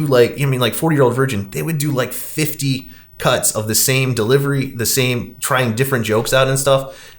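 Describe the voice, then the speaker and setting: A man hosting a podcast, casually recapping a film in a wry, critical, conversational way. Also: mid-range voice.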